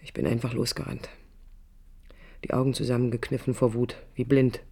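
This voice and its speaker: A woman's voice: very deep voice, little pitch movement, normal speed, vocal fry, warm tone, slightly rough, heavily acted. An actress playing a proud, brooding woman architect in a radio play, speaking in an introspective, literary and bitter way. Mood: indignant. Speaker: lead character and first-person narrator. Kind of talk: radio play acting, interior monologue and dialogue. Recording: studio radio drama with music behind.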